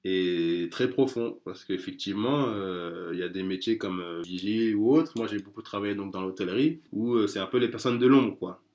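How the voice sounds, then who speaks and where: A man's voice: lowish voice, moderate pace, few pauses, darkish tone. A man chatting casually as an interview guest, hesitant, with many fillers and restarts. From France.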